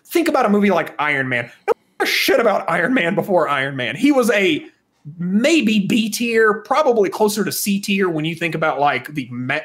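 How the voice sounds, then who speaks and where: Guy on a podcast giving opinions, voice a bit on the high side, leans on key words. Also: a bit bright. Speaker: podcast co-host. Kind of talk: casual opinionated podcast discussion.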